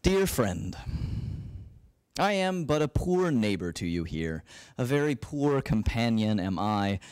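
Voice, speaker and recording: A young man playing an earnest, wry nineteenth-century American letter writer in a deliberate, theatrical dramatic reading. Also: mid-range voice, sing-song, darkish tone, smooth, dry recording, studio quality. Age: young adult. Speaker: dramatic reader. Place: small library meeting room with audience. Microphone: handheld close to mouth.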